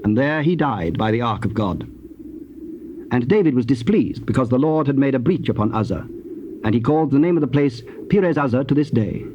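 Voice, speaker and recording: A man narrating dramatized scripture in a measured, formal storytelling manner. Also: mid-range voice, animated, warm tone. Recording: dull tape with faint noise.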